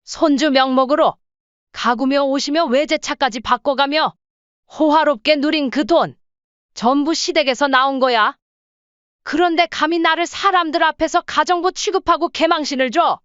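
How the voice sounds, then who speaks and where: A woman acts out a proud, hardworking older mother-in-law in an audio story. Her voice is high and she scolds with firm, cutting authority. The sound is dry and slightly dull. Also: normal speed, fairly bright tone, no echo. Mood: furious scolding. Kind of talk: audio drama story acting.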